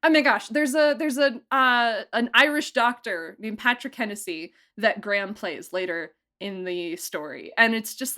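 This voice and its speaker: A woman giving a casual interview as an audio drama director, talking in an animated, chatty way that wanders into asides. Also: on the high side. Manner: animated, chatty, digressive storytelling.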